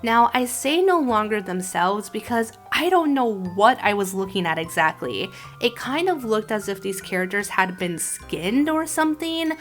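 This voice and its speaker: A woman giving scripted video commentary in a conversational storytelling way, with expressive emphasis. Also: mid-range voice, normal speed, hardly any pauses. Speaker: host reviewing an animated horror film. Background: background music.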